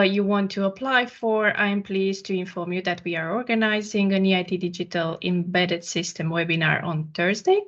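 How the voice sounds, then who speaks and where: A woman's voice: on the low side, a bit bright. Polite woman hosting, muffled call audio. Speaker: webinar host. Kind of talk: presenting an online information session. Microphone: video call.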